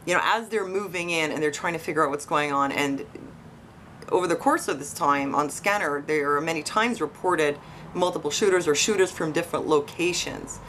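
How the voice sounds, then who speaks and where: A woman with a fairly low voice hosts an informal investigative commentary for online viewers. She speaks conversationally, posing questions and speculating as she goes. A faint buzzing noise sits behind her voice. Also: moderate pace, a bit bright. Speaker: online video host. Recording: noisy, buzzing tree-cutting outside. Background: buzzing from tree cutting outdoors.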